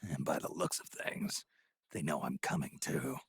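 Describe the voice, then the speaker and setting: An actor playing a gruff, world-weary older male soldier in a video game, husky and terse, often half-whispering in a growl. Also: a bass voice, expressive, normal speed, dry recording, studio quality, full character voice. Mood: suspicious. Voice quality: very gravelly, husky. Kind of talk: dramatic video game voice acting.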